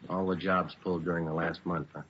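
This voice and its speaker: A dry police detective played by a male actor talks fast and low in clipped phrases. The old radio recording is muffled, with some hiss. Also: flat delivery, close to an everyday voice. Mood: businesslike. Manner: clipped, matter-of-fact, understated. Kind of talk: 1940s-50s radio drama acting and narration.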